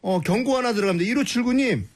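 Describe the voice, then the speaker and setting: Bright-voiced upbeat radio co-host man. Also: very high voice, expressive, quick pace. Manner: upbeat, exclamatory, laughing often. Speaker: radio co-host reading listener texts. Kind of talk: casual radio banter.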